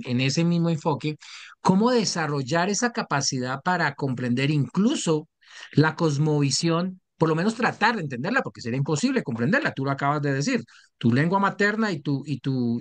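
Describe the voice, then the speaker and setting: A man moderating a panel discussion, speaking in a measured, academic way with many fillers. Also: a little high.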